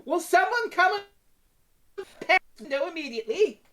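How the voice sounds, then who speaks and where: A woman's voice: very high-pitched, big pitch swings, normal speed, fairly bright tone, a little hiss or hum, pitched above the performer's own voice, heavily acted. A woman voicing a haughty, callous old queen mother in a podcast retelling, imperious and disdainful. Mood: imperiously demanding.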